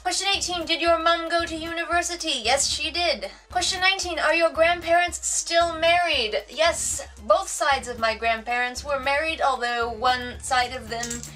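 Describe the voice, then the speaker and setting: Wry, high-voiced woman with a Wisconsin accent. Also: normal speed, few pauses, bright, forceful tone, far from the mic, soft background music. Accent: Wisconsin American. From United States. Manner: wry, reading questions aloud then answering off the cuff. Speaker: vlogger. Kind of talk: casual question tag vlog. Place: home room with bookshelves, indoors. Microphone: camera mic at a distance.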